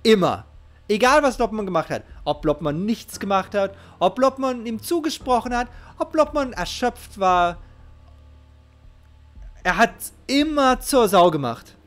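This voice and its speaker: A man's voice: high voice, expressive, measured pace. A middle-aged man commenting casually on a game live, emphatic and emotionally invested, repeating his phrases. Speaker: game streamer. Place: indoor home streaming setup. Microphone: close microphone, headset worn. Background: game music.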